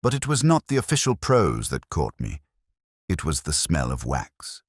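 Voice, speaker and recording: Very deep-voiced male mystery narrator. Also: wildly swooping pitch, husky, no echo, studio quality. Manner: literary, measured, brooding delivery. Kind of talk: first-person scripted mystery narration.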